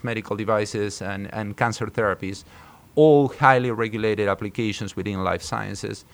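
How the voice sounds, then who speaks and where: Halting man from Argentina in an interview. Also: medium pitch. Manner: halting, hesitant phrasing with repeated words. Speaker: startup co-founder being interviewed. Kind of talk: interview answers.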